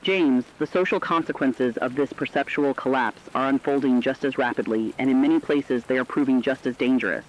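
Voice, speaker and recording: A man playing a sober, urgent radio correspondent, delivering a formal, deliberate scripted report on societal collapse. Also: mid-range voice, natural voice. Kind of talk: scripted dramatic news report. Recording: dull lo-fi radio sound.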